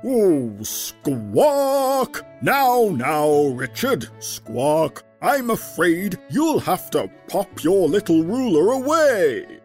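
A woman putting on a deep, pompous voice for an old male bird who leads a council. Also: very animated, very slow, few pauses, a little mellow, soft background music, heavily acted. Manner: pompous, stately, squawking.

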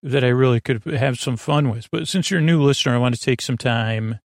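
Give me a man with a creaky voice meandering through a bedtime ramble, mid pitch. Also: fairly quick, no room sound, clean studio sound. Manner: meandering, full of tangents and restarts. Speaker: sleep podcast host.

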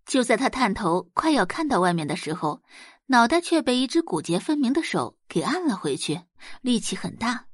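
A woman's voice: fairly high pitch, sing-song, normal speed, breathy edge, no echo, clean studio sound. A woman reading scripted dramatic narration as an audiobook narrator.

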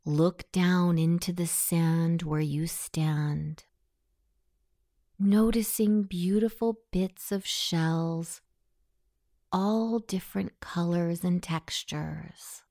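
A woman guiding a scripted hypnosis meditation in a soothing, softly breathy delivery. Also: lowish voice, very slow, dry recording, clean studio sound.

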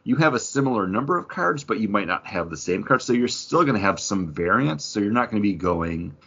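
A man's voice: lowish voice, big pitch swings, brisk, vocal fry, fairly bright tone, husky, muffled recording. A man hosting a podcast, giving thorough, casual explanations with enthusiasm. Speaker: podcast host explaining a game.

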